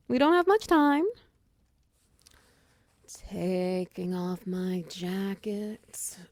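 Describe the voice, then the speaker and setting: A woman voicing a muscular female minotaur wizard who runs a potion shop, in a teasing, commanding roleplay ASMR style, with a breathy voice that slips into whispers. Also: fairly low pitch, a little mellow, no echo. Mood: flustered, hurried. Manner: intimate, teasing, commanding, with pet names. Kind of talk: roleplay ASMR audio drama.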